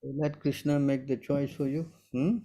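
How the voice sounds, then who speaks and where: A man's voice: fairly high pitch, little pitch movement, normal speed, dark tone. A man in his sixties answering devotional questions in an informal online session, often checking whether listeners understand. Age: 60s. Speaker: spiritual teacher answering questions.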